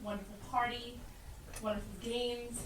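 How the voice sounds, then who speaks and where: A noisy, echoey live stage recording carries a woman as a storybook narrator. Her voice is fairly high, and she tells the tale dramatically from a little distance. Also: level tone, normal speed, lightly acted. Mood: delighted. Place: theatre stage, live performance.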